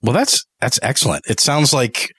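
A man's voice: mid-range voice, lively intonation, moderate pace, fairly bright tone, husky, no echo. Male podcast interviewer with dry sound. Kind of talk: casual interview chat.

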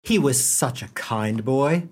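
A man's voice: medium pitch, lively intonation, slow pace, darkish tone. Man acting as theatrical, mock-solemn radio storyteller. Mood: droll. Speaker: narrator.

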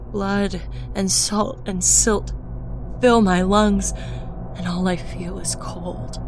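A woman reading a dark first-person story aloud, slow and hushed, sinking toward a whisper. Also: mid-range voice, background noise. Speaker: narrator. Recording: narration over loud music score.

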